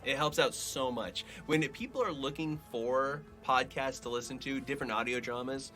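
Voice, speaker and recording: A man's voice: mid-range voice, normal speed, sharp tone, faint music behind. A man bantering casually as a podcast co-host, with a playful, teasing, joking manner.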